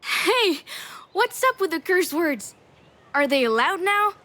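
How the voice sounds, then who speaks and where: A woman playing a young daughter returned from the dead, cheeky, calm and matter-of-fact, in a video game. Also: very high-pitched, takes it slowly, heavily acted. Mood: teasing.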